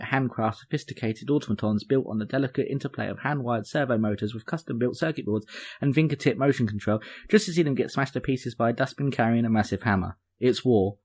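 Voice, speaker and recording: A man's voice: medium pitch, a little mellow. A man co-hosting a podcast, chatting informally in a dry, joking way with the odd mild swear. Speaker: podcast co-host. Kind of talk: casual chat and informal news reading.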